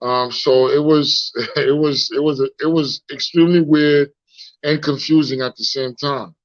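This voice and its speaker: Guest, man in his 40s, Haitian accent, frank and reflective, high-ish voice, heard over muffled phone audio. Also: steady tone, measured pace. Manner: frank and reflective, repeats words while searching for them. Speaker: guest, founder. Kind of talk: casual live interview.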